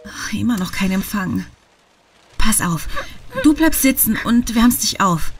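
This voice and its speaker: A woman's voice: medium pitch, animated, measured pace, lightly acted. An actress playing an irritable, sarcastic woman driver in a horror audio drama. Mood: concerned, hurried. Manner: sarcastic, exasperated. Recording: clear rain and car noise behind.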